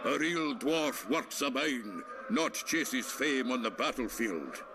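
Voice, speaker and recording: A man's voice: mid-range voice, animated, slow pace, music in the background. A man playing a brash, bawdy dwarf, a colourful and boisterous storyteller in a fantasy game. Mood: indignant, bawdy. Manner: colourful, swearing, hearty storyteller. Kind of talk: dramatic game dialogue.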